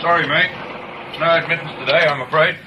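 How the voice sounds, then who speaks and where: An actor in a radio drama playing a stubborn, officious security guard with an official manner. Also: male voice, fairly high pitch, fairly even intonation, a little fast, natural voice. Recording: dull sound, clear vehicle noise.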